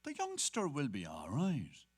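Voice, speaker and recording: An actor playing a measured, dry-witted Dublin gentleman in a staged reading of a novel. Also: mid-range voice, wildly swooping pitch. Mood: wry.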